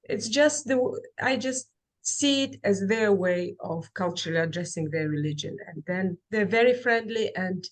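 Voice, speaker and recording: A woman giving a webinar presentation over a video call. She speaks haltingly and fills pauses with many ums and restarts. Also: medium pitch. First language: Kazakh. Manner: halting, with frequent fillers and restarts. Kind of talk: online presentation and Q&A.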